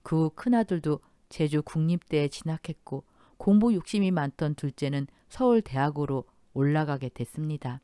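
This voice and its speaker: A woman reads a dramatized life story in a soft, measured voice, close to the mic. The sound is completely dry, with no room at all. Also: deep voice, no room sound. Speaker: story narrator. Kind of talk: scripted story radio narration.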